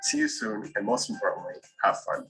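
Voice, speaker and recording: A man's voice: lowish voice, wildly swooping pitch, brisk, a bit bright, faint music behind. A man on an alumni board giving an upbeat recorded welcome message.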